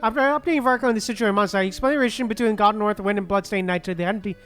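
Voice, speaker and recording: A woman's voice: mid-range voice, very fast, faint music behind. A woman streaming a game, reacting casually and chattily and reading lines aloud.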